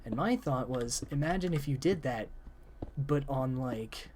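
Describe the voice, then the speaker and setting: A man's voice: medium pitch, expressive, measured pace, darkish tone, slight background noise. A young man chatting casually about story ideas with a friend while gaming, rambling with many fillers, his voice cracking and wearing out. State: losing voice, voice cracking.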